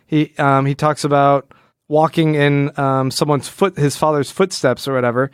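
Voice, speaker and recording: A man's voice: on the high side, steady tone, moderate pace, clear, resonant tone, no echo, studio quality. A man co-hosting a podcast, talking casually and analytically, often rephrasing himself as he explains. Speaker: podcast co-host.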